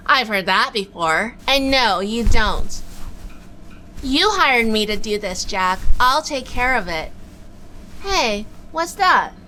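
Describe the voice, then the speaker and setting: Woman playing a high, sassy noir lady detective. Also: lively intonation, slow pace, pushed and bright, a little hiss or hum, full character voice. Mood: sharp, exasperated. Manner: sardonic, scolding. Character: wisecracking female 1940s private eye, bossy, secretly lovestruck. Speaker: lead actor and narrator. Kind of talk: noir audio drama acting with narration.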